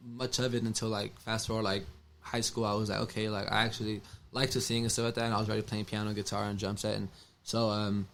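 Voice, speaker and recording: A man's voice: fairly low pitch, flat delivery, fast, gravelly, a bit bright. A man in his twenties with a stutter, speaking hesitantly with many fillers and restarts. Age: 20s. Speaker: musician, interview guest.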